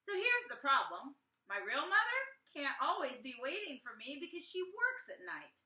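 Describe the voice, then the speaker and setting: A woman voicing an earnest, self-doubting young schoolboy in an expressive storybook read-aloud, with emphatic, deliberate phrasing. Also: sits high, voice pushed up, exaggerated voice. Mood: worried, dejected. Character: earnest young schoolboy writing journal poems, defensive, doubtful. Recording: echoey room, distant mic.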